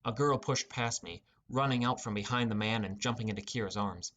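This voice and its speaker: A man's voice: lowish voice, a little fast, a bit bright, slight background noise. A man narrating a scripted first-person fantasy adventure as an audiobook.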